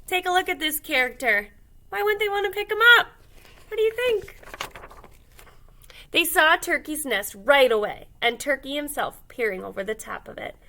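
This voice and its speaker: Lady doing a kids read aloud, bright highish voice, expressive, asks the kids stuff. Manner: expressive, pausing to question young listeners. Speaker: read-aloud storyteller, teacher. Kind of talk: children's picture book read-aloud.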